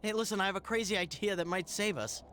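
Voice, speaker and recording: An actor playing a young, wisecracking but weary superhero in a video game cutscene. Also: male voice, sits high, fast talker, a bit bright, slight background noise. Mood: resolved, hurried.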